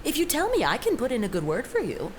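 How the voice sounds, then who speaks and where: Bossy, wildly animated mountain sprite. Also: female voice, fairly high pitch, quick pace, a little hiss or hum. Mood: self-important, insistent. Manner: officious, pompous, dictating formal reports. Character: bossy, excitable female mountain sprite, self-appointed official observer. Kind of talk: family audio drama acting.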